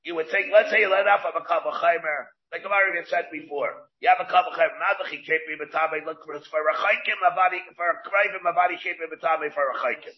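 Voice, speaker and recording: Rabbi teaching a Talmud class. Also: male voice, fairly high pitch, steady tone. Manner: halting, restarts and asks questions aloud, answers students. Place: classroom with students. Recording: muffled lo-fi classroom recording.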